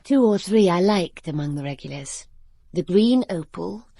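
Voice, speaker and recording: An actress in a radio drama playing a cool, frank, worldly young woman with a husky voice. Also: lowish voice, animated, normal speed, warm tone, no room sound, heavily acted. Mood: frank, reminiscing.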